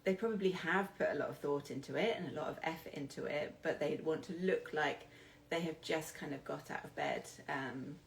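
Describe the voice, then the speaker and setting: A woman's voice: deep voice, a little fast, creaky, a little hiss or hum, rough recording. A British woman giving an informal style lesson as a coach, speaking hesitantly with self-deprecating, apologetic asides. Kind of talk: informal instructional talk.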